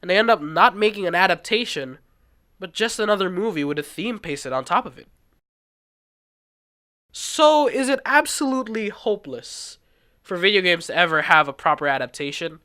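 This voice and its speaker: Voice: mid-range voice, animated, moderate pace, pushed and bright. A video essayist narrating in a casual, informal and self-deprecating way, as if reading a loose script. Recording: music playing behind the voice. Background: background music.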